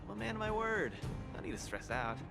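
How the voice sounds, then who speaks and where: A man voicing a calm, reassuring investigator in a video game, measured and businesslike. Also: sits high, gravelly, exaggerated voice. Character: composed, dependable male investigator, reassuring and businesslike. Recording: background music under dialogue. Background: music.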